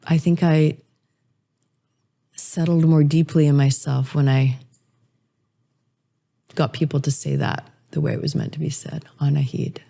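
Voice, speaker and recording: A woman's voice: deep voice, little pitch movement, unhurried, gravelly, pauses often, dark tone. A middle-aged woman interview guest, an author and speaker, answering in an articulate, earnest way that builds ideas step by step.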